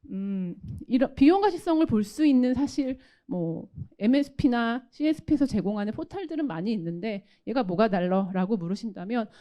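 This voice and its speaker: Woman presenting, lively higher-pitched voice. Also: highs cut off. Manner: explanatory, polite formal delivery. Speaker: company solutions presenter. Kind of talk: technical product presentation.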